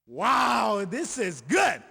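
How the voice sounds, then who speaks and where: The excitable guy calling out from a fishing cabinet in a cavernous arcade, swooping wildly up and down, theatrical. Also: very high-pitched, very slow, vocal fry, breathy edge, raised voice, exaggerated voice. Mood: excited, cheering. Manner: exclamatory, theatrical. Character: excitable male fishing guide cheering the player on. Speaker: video game announcer. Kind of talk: arcade game announcing, short exclamations.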